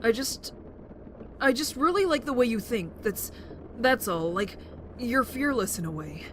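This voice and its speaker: Woman doing a gentle teen boy, thoughtful n kinda hesitant, dry wit, clear vehicle noise in the back. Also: on the high side, animated, measured pace. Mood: admiring, shy. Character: soft-hearted, thoughtful teenage boy survivor with dry wit. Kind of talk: naturalistic amateur audio drama acting.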